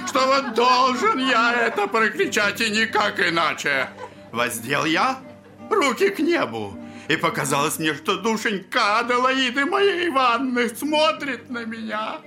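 A theatrical, drunken old landowner. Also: male voice, sits high, exaggerated voice. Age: older. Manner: theatrical, drawn-out, swinging from shouts to murmurs. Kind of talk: audio drama acting. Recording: music and crowd behind the voice.

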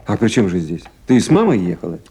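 An actor playing a kindly official on night duty in a 1970s Soviet radio play, gentle and coaxing or businesslike. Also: male voice, on the low side, sing-song, fast, husky. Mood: reassuring, coaxing.

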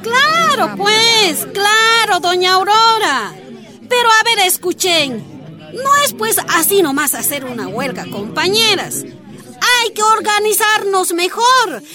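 An actress playing a cautious, shrewd female union leader in a radio drama, deliberate and persuasive, with a Bolivian accent. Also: very high-pitched, sing-song, crowd noise, full character voice. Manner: deliberate, drawn-out, reasoning.

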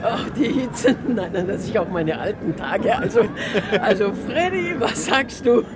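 Tipsy old aunt, swooping voice. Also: female voice, medium pitch, a little slow. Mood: tipsy, giggly. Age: older. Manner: giggly, excitable. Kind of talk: 1960s German radio play acting. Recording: noisy old radio play, loud fairground crowd.

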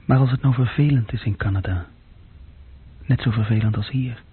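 A man playing a young, self-critical office group leader musing drily in a radio play, with a husky voice. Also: mid-range voice, moderate pace. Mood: musing, self-mocking. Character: young male bookkeeping group leader, self-critical, sardonic, restless dreamer. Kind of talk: radio play inner monologue. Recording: muffled phone-like old radio recording.

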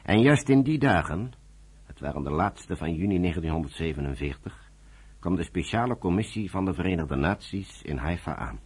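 A man's voice: low-pitched, animated, moderate pace, slightly rough. A man reading scripted narration for a Dutch radio play.

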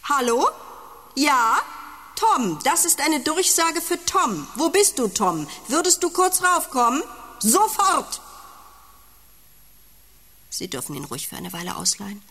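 High-voiced, put-upon, stubborn country wife. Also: female voice, big pitch swings, measured pace, long pauses, a bit bright, heavily acted. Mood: matter-of-fact. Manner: blunt, shifting from muted questions to exasperated outbursts. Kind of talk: German radio play acting.

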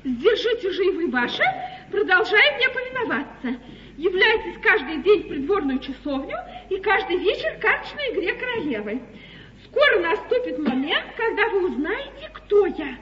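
A woman's voice: extremely high pitch, very animated, normal speed. An actress playing an anxious, impulsive young Englishwoman in a 1950s stage comedy, exclamatory and melodramatic. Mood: anxious, dramatic. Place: theatre stage, live performance. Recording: echoey muffled theatre broadcast with audience noise.

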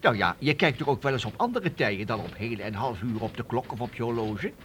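An actor playing an eccentric, proud old inventor professor in a children's radio play, muttering and repeating himself. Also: male voice, mid-range voice, lively intonation, quick pace, fairly bright tone, a little hiss or hum, natural voice. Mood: eagerly explaining.

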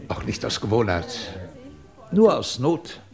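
An actor playing a ruthless, scornful British scientist in a 1950s German radio drama, cold, cutting and commanding. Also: male voice, medium pitch, very animated, measured pace, vocal fry, darkish tone. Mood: cynical, cool.

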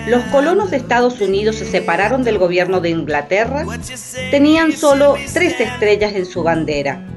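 A woman's voice: mid-range voice, sing-song, normal speed, music in the background, natural voice. A woman narrating history in a scripted radio drama.

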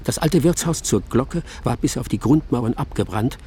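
A man's voice: medium pitch, animated, normal speed, mellow, a little hiss or hum. A man narrating a literary radio drama in rhythmic, clipped phrases. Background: faint music.

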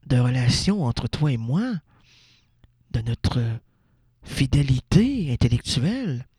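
Guy doing a flustered quebec husband, doting but fed up, pitch all over, dry close sound, silly radio sketch. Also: a little mellow, slightly rough, dry recording, heavily acted. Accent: Quebec French. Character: exasperated, doting, wordy husband woken in bed. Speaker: radio show host. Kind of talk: absurd radio comedy sketch.

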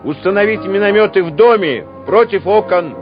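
Soldier barking orders, high, swooping, clipped; muffled radio drama recording. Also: male voice, animated, moderate pace, over music. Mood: urgent, commanding. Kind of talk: radio play acting.

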